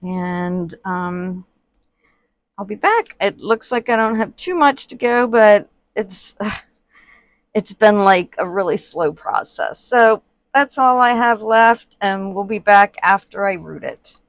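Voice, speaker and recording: A woman's voice: mid-range voice, slow, no echo. Chatty woman murmuring over her craft. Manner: chatty, many fillers, quiet and murmured while working. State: sniffling from allergies. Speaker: reborn doll artist. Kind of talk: casual crafting tutorial vlog. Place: indoors at a worktable.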